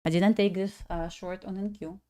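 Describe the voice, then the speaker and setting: A woman's voice: mid-range voice, fairly even intonation, normal speed, mellow. A young woman from Belarus, a native Russian speaker with a Russian accent, chatting casually and laughing while she day trades on a live stream. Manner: chatty, laughs often, talks to herself.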